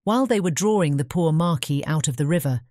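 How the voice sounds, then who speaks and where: A woman's voice: low voice, animated, fast talker, warm tone, dry recording, studio quality. A woman with a British English accent reading scripted fairy-tale narration.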